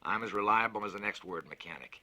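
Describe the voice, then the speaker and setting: A man's voice: on the low side, fast talker, sharp tone. A man voicing a skeptical, prickly journalist in an audio science fiction story, questioning in a blunt, challenging way. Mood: irked. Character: skeptical, prickly male journalist visiting the moon.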